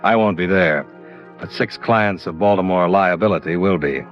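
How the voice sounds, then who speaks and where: An actor playing a tough, world-weary insurance investigator in a clipped, wry 1950s radio detective style. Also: male voice, deep voice, normal speed, music in the background, lightly acted. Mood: wry narration. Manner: clipped, wry, hard-boiled. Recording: dull old radio recording.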